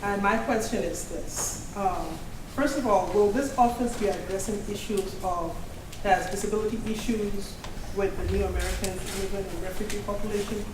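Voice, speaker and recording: A woman's voice: medium pitch, normal speed. Woman, has a cold, earnest, self-correcting, distant voice. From Nigeria. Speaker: special education advocate, audience member. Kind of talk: public question at a community meeting. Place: community meeting hall. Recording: echoey hall, voice from afar, background noise.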